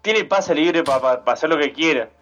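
Sarcastic co-host, lo-fi sound. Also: male voice, fairly high pitch, lively intonation, moderate pace, fairly bright tone. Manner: dry sarcastic quips. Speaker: podcast co-host. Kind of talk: casual chat. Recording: dull lo-fi sound, faint music.

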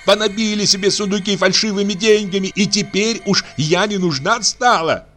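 A shrill, enraged young woman hurls accusations, her voice pitched far up and sobbing with rage, as a male reader puts her on. Faint music hums underneath. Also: normal speed, fairly bright tone. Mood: furious. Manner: shrieking accusations.

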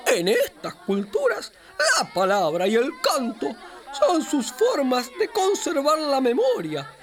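A woman's voice: high-pitched, slow pace, heavily acted. A woman playing a friendly, wise capybara who patiently explains things to a child in children's radio theatre, with an Argentine accent. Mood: enthusiastic. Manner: patient, expressive explaining, storyteller-like. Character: friendly wise capybara guiding a little girl.

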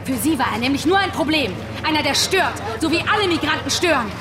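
Desperate, bitter, high-voiced gunwoman. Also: animated, fast talker, roomy sound, music in the background. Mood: bitter, threatening. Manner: accusing, emphatic, clipped phrases. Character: desperate, bitter woman holding people at gunpoint. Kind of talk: German radio crime drama acting.

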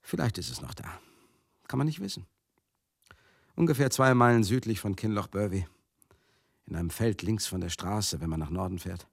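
A world-weary male companion in a radio play, with a low, husky, creaking voice recorded close and dry. He confides directly in the listener, halting and repeating himself, bitter and tender at once, often sinking into a breathy murmur. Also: dry recording. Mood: tender, grieving. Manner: halting, repetitive, conversational, addressing listeners directly. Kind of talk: radio play monologue, intimate confessional storytelling.

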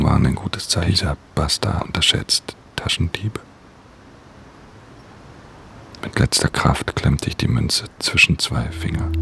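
An actor playing a weary, wounded pickpocket, narrating his own downfall in a hushed, breathy radio play voice. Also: a bass voice, a little hiss or hum, full character voice. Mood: weak, grimly determined. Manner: hushed, breathy, inward first-person narration.

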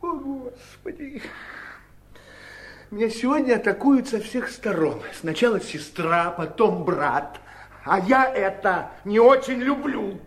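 An actor playing a wily, domineering elder brother in a Soviet radio drama, theatrical, mocking and commanding. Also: male voice, high voice, lively intonation, slow pace, fairly bright tone, slight background noise, full character voice. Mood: aggrieved, threatening.

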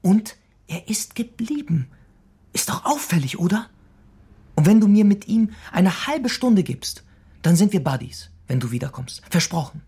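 An actor playing a brash, stubborn young blackmailer in a radio crime drama, pushy, defiant and slangy. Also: male voice, sits high, very animated, a little slow, warm tone, breathy edge, exaggerated voice. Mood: urgent, persuading. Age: young adult.

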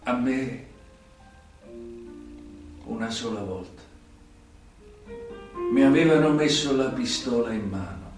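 An actor playing a worldly, sly old mafia turncoat in a radio drama, with a southern Italian accent and weighty short phrases between long pauses. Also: male voice, medium pitch, lively intonation, over music. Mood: knowing, grave. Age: older. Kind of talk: theatrical radio drama acting. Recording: very echoey stage, distant microphone.

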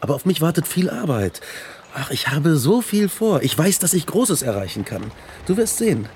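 An actor playing an earnest, ambitious young student in a radio drama, speaking in clearly phrased dialogue and narration. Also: male voice, fairly high pitch, very animated, moderate pace, darkish tone, slight background noise. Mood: hopeful. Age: young adult. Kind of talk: radio drama acting, with first-person narration.

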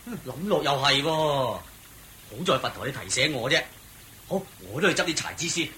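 A man's voice: mid-range voice, lively intonation, moderate pace, sharp tone, a little hiss or hum. An actor playing a curious, good-natured male traveller in a Cantonese radio drama, questioning and exclaiming in a Hong Kong accent. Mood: surprised, reasonable. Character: curious, good-natured clansman traveller, eager and plain-spoken.

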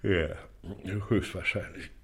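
Pompous village magistrate, bright and forceful. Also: male voice, low voice, slow pace, creaky, a little hiss or hum, full character voice. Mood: bored, dismissive. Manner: officious, dismissive, condescending. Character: pompous, impatient male Prussian village magistrate. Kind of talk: German radio play acting.

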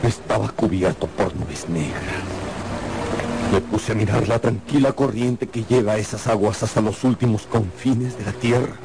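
Gravelly haunted narrator. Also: male voice, lowish voice, moderate pace, background noise. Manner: intense, portentous, theatrical. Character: haunted male narrator, brooding, horrified, guilt-ridden seafarer. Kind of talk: dramatic first-person radio drama narration.

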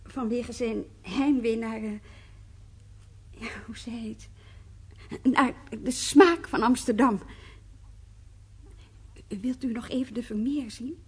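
Husky, courteous woman conservator. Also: on the high side, slow pace, long pauses, heavily acted. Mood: careful explaining. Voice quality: husky, breathy. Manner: polite, hesitant, explaining carefully. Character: courteous woman art conservator admiring a colleague's work. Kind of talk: naturalistic radio drama acting.

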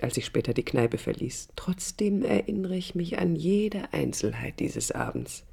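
A woman's voice: deep voice, creaky, warm tone, husky, exaggerated voice. An actress playing a young, idealistic woman architect who recalls her life in an intimate, literary radio play monologue, reflective and critical. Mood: wistful, intimate. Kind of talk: 1980s radio play inner-monologue narration.

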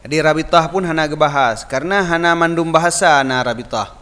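A man's voice: on the high side, a little fast, fairly bright tone. A man with an Acehnese accent, a native Acehnese speaker, teaching a religious lesson by reading a text aloud and explaining it informally. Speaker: Islamic teacher. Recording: reverberant hall-like echo.

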